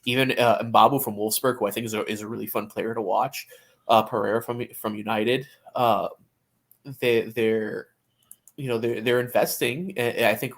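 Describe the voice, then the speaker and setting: A man co-hosting a sports podcast in casual chat, talking haltingly with repeated words and false starts. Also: mid-range voice.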